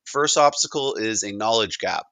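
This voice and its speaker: A man's voice: on the low side, lively intonation, normal speed, creaky, bright, forceful tone, dry recording. A man with a Canadian accent giving an informal, plain-spoken explanatory presentation in a conversational way. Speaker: presenter, digital and AI consultant.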